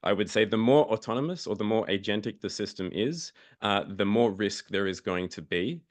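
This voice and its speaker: A man's voice: lowish voice, animated, moderate pace. A man on a discussion panel, explaining in a hesitant way and often repeating words.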